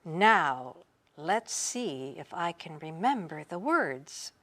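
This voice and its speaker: A woman's voice: deep voice, very animated, takes it slowly, a bit bright, full character voice. A woman voicing a foolish, boastful young male servant from a storybook, eager and emphatic. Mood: scheming.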